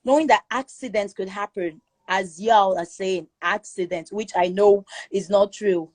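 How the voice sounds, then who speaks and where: A woman with a Nigerian accent giving her opinion forcefully in a live chat room, repeating her points for emphasis. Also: mid-range voice, unhurried, no room sound. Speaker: guest speaker in a live audio chat room. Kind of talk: opinionated casual commentary.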